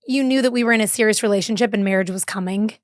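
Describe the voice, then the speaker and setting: A woman in her thirties from San Diego, an easygoing podcast guest who fills pauses with "yeah". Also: mid-range voice, no room sound, clean studio sound. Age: 30s. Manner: easygoing, many fillers and yeahs. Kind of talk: casual interview chat.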